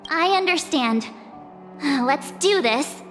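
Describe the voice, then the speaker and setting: A woman voicing a perky, easygoing teenage girl adventurer in a video game, casual, expressive and a little sheepish. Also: high voice, slow, heavily acted. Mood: casual, resolved. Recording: long hall reverb, game music behind. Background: game music.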